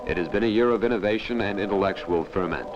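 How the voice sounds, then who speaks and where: A man's voice: lowish voice, fast. A man with an American accent reading scripted narration for an early 1960s newsreel. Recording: dull, noisy 1961 newsreel soundtrack.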